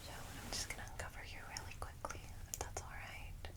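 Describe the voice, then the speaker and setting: A woman whispers softly and gently in an ASMR roleplay as a kindly, reassuring vampire secretary guiding a newcomer. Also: fairly quick. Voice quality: soft breathy whisper. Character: kindly vampire secretary welcoming a newly turned vampire. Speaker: ASMR roleplay creator playing a guide to new vampires. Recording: close whisper with clear background noise.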